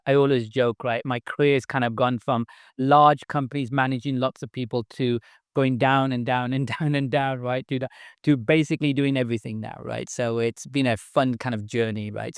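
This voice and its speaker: A man's voice: mid-range voice, smooth, no room sound, studio quality. A middle-aged man from England with a Birmingham accent, chatting casually as a podcast guest, tagging his sentences with "right?". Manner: chatty, frequent "right?" tags and light laughs. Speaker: startup founder, guest.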